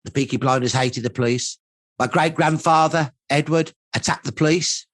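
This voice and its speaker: A man's voice: fairly high pitch, normal speed, fairly bright tone, dry recording. Emphatic male historian, dry close recording. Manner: emphatic, short punchy phrases with repetition. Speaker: historian interviewee. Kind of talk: documentary interview commentary.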